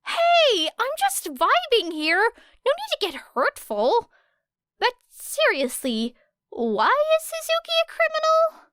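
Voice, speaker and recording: A woman voicing an excitable, easily confused teenage boy in an audiobook, with casual, exclamatory slang. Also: very high voice, takes it slowly, no echo, studio quality, voice pushed up, heavily acted. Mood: confused, playful. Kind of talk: audiobook character voice.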